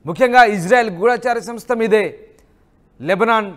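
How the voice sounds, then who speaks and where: A man's voice: high voice, normal speed, fairly bright tone. A man presenting news in an emphatic way, commenting over footage with repeated phrases and rhetorical questions.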